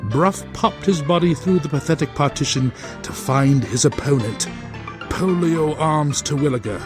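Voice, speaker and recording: A man's voice: on the high side, animated, moderate pace, over music. A man narrating a comedy audio drama with wry, sardonic asides.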